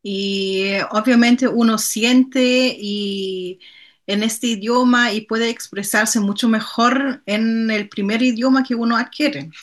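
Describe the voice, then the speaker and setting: Measured woman, German first language. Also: on the high side, steady tone. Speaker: guest translator. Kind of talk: conversational interview.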